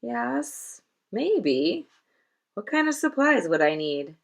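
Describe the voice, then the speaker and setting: A woman's voice: fairly high pitch, sing-song, slow pace, creaky, voice pushed up, heavily acted. A woman voicing a distracted male fifth-grade teacher, hesitant and flustered, in a storybook.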